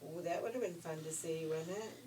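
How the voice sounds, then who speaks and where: An older woman telling a fairy tale informally, in a folksy, expressive, chatty way. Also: deep voice, a little fast. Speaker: storyteller. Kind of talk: informal read-aloud fairy tale storytelling with asides. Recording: distant mic with faint background noise.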